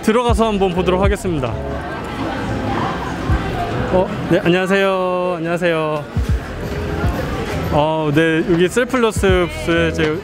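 Upbeat high-voiced man at a busy expo. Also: measured pace, long pauses. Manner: polite, upbeat, chatty. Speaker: YouTuber touring a trade show. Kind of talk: casual vlog narration and interviews. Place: busy indoor expo hall. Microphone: handheld mic. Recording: loud crowd and music behind. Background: crowd and music.

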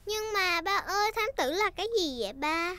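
A woman's voice: extremely high pitch, lively intonation, normal speed, bright, forceful tone, exaggerated voice. A woman voicing a cheerful, bossy little girl pig in a children's cartoon, eager and childlike. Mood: excited.

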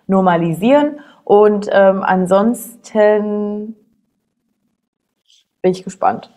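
Woman, twenties, co-host, medium pitch, casual discussion, slangy, opinionated. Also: slow, warm tone. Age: 20s. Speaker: co-host of an online show. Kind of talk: casual chatty discussion of reality TV. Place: small indoor room at a desk.

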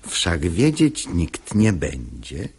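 An actor playing a sly, hypocritical pious seducer in a radio verse comedy, with an unctuous, persuasive theatrical delivery. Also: male voice, deep voice, huge pitch swings, drawn-out words, darkish tone, husky, full character voice. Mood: oily coaxing. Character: sly hypocritical pious seducer, smooth-talking male schemer. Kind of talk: classical stage comedy radio acting in verse.

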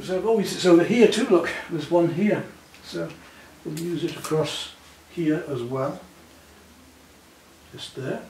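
A man's voice: a little high, warm tone. A man in his sixties patiently teaching a student to paint, giving reassuring step-by-step instructions. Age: 60s. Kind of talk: hands-on demonstration to a student. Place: living room at home, indoors. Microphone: camera a few feet away. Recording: roomy, distant mic with background noise.

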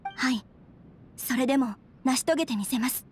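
High-voiced, earnest young noblewoman, acted by a woman. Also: normal speed, soft background music, close to an everyday voice. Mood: resolute. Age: young adult. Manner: polite, formal, earnest. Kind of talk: Japanese anime game voice acting.

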